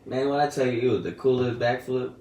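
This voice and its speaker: A man's voice: medium pitch, fairly even intonation, fairly quick, recorded from a distance. Laid-back slangy teen guy, echoey room. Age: teens. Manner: laid-back slangy storytelling, drifting soft and quiet. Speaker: vlogger. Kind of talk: casual storytelling. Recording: echoey room, a bit off-mic, faint noise.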